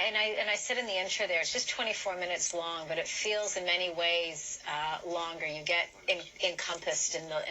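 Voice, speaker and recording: A woman's voice: lowish voice, sharp tone, dull audio. A woman anchoring and conducting a broadcast news interview.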